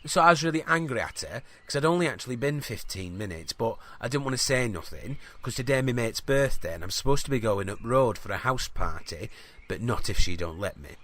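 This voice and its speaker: Casting: male actor for teenage farm boy, earnest, colloquial, halting rambler in comic audio fiction. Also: mid-range voice, animated, a bit bright, natural voice. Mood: aggrieved. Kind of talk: scripted comedy audio fiction.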